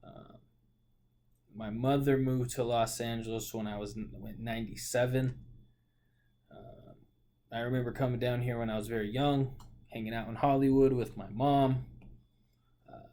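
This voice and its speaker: A man's voice: medium pitch, fairly even intonation, moderate pace, pauses often, dark tone, slight background noise. A man in his late twenties from Oregon, talking casually about his life in a rambling monologue full of fillers and self-corrections. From Portland, Oregon. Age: 20s. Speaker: vlogger.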